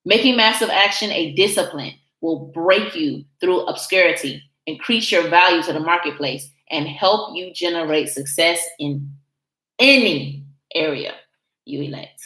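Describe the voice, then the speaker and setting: Low-voiced woman hosting a call. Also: measured pace. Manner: reads passages aloud, asks questions, relaxed. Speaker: host. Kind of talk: casual group discussion. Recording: echoey room.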